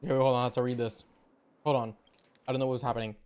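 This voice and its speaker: A man's voice: mid-range voice, flat delivery, very fast, a little mellow, clear, resonant tone. A man giving casual, chatty reaction commentary as a game streamer, with playful slang. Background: faint game music.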